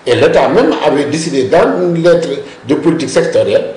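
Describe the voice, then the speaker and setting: A man's voice: on the high side, lively intonation, brisk, a little mellow. Insistent man, roomy sound. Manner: insistent and explanatory, repeats "mais, mais" to cut in. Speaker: language policy expert guest. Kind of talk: discussion interview. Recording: roomy echo.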